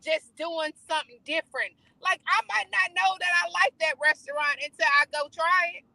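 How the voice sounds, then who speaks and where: Lady cohost, high bright voice, really dry sound, casual pep talk, says things twice for emphasis. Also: fairly even intonation, moderate pace, creaky, no room sound, poor audio. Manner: emphatic, repeats phrases. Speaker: talk show co-host. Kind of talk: motivational casual chat.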